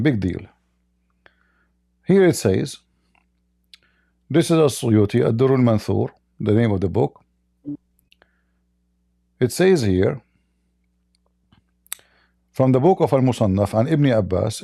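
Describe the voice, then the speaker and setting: A man's voice: medium pitch, vocal fry, dark tone, dry recording, studio quality. A man hosting a live debate, pressing a caller with repeated questions. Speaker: debate host. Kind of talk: live call-in religious debate.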